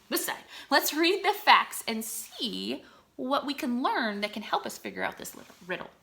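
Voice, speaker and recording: A woman reading aloud to children like a teacher, enunciating deliberately and explaining words simply as she goes. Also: a little high, bright, forceful tone.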